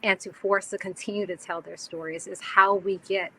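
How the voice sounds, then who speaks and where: Bright-voiced woman on a video call. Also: mid-range voice, fairly even intonation, a little hiss or hum, low-quality recording. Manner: thoughtful, halting with restarts. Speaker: panelist filmmaker. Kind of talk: panel discussion.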